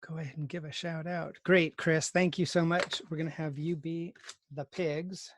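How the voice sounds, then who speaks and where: A man's voice: on the high side, level tone, moderate pace, no echo. A man teaching conversationally on a video call, organizing his students with polite encouragement. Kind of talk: conversational online class instruction.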